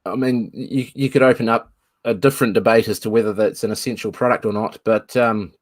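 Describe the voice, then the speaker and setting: Kiwi male guest, lowish voice, dry close sound, thinks aloud. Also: steady tone, brisk, no room sound. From New Zealand. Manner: thoughtful, restarts phrases. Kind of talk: casual chat.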